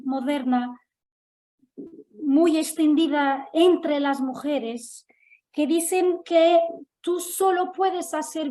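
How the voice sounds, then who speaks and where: A woman from Portugal speaking with a Portuguese accent, giving a halting panel talk full of fillers and restarts. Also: high voice, warm tone, rough recording. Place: Lisbon.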